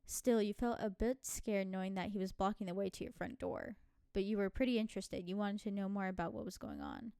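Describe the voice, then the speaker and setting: A woman's voice: mid-range voice, steady tone, fairly quick, creaky, warm tone, clean tone, no room sound. Woman narrating a visual novel, dry close sound. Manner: giggly, informal asides. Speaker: video game streamer. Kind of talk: let's-play, reading visual novel narration aloud with casual asides.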